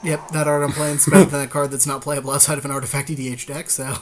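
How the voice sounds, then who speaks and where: A man's voice: on the high side, little pitch movement, fast talker, slight background noise. A man co-hosting a casual card game podcast, talking in an agreeable, conversational way. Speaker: podcast co-host.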